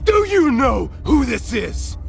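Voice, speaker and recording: A man's voice: extremely high pitch, wildly swooping pitch, slow pace, vocal fry, faint music behind, full character voice. A man playing a bitter, unhinged rogue soldier in a video game, accusing and menacing. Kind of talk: cinematic video game voice acting.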